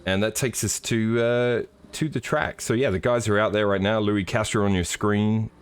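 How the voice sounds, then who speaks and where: A middle-aged man commentating a sim race on a live stream, slightly hoarse, relaxed and joking with many fillers. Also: lowish voice, normal speed.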